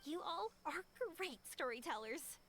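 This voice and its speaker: A woman voicing a cheerful, friendly young woman adventurer in scripted video game dialogue, upbeat and expressive. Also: high voice, a little slow, a little hiss or hum. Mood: excited, friendly.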